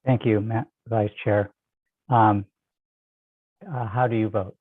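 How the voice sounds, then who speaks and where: Man, general counsel, roll call, brisk and clipped, phone-quality audio, dry sound. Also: fairly low pitch, steady tone, dry recording. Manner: brisk, clipped name calling. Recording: tinny, dry phone-like line.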